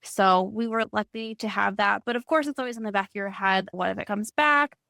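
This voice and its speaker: Female, 30s, mid-pitched with noticeable fry, dry recording, conversational panel testimony. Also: fairly quick, pushed and bright, no echo. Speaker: panelist, cancer patient and mother. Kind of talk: personal testimony in a panel discussion.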